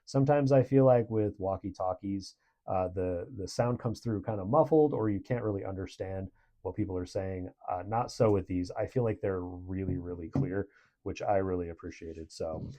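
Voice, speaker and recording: Man, low voice, soft mellow tone, casual reviewer, conversational delivery. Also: moderate pace. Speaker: product reviewer.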